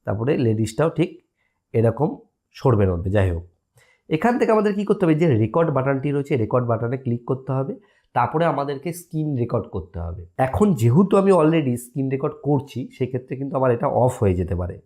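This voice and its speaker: A man's voice: medium pitch, very animated, quick pace, warm tone. A man hosting a casual app tutorial, explaining step by step and often checking in with listeners. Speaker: tutorial host.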